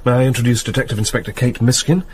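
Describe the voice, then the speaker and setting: An actor with a British accent playing a shrewd senior police detective in a radio drama, measured and businesslike. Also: male voice, medium pitch, steady tone, natural voice. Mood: grave, polite. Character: composed, shrewd male senior police detective, polite and matter-of-fact. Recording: noisy radio drama with ambience.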